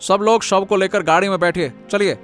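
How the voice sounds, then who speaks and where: A man's voice: high-pitched, steady tone, a little fast, soft background music, lightly acted. A man playing a brusque, commanding police officer in a cartoon moral story.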